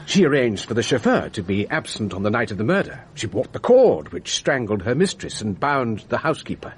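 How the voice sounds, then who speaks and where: A man acting in a radio drama as a precise, earnest, rather pompous gentleman amateur sleuth who speaks formally and methodically. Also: medium pitch, big pitch swings, fairly quick, close to an everyday voice. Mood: methodical, self-satisfied.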